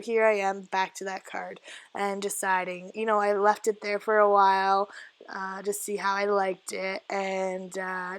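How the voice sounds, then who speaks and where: Husky-voiced woman chatting casually. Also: medium pitch, no room sound. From Canada. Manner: chatty, many "uh" fillers and soft laughs. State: husky, croaky voice. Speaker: scrapbooking vlogger. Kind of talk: casual voice-over narration of crafting. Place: home basement craft area.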